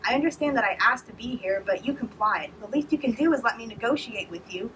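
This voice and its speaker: Angry mouthy young woman, voice pitched up high, as a woman reads her, far off mic. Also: lively intonation, fast talker, close to an everyday voice. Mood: defiant. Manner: mocking, angry and defiant. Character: defiant sharp-tongued young woman prisoner. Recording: distant mic with noise behind.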